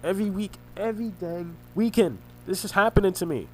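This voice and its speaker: A man recounting family arguments in a rambling monologue, halting and often restarting his words, his voice congested and his throat sore. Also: high voice, a little hiss or hum, poor audio. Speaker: vlogger recounting family conflicts. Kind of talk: rambling personal monologue over a screen recording.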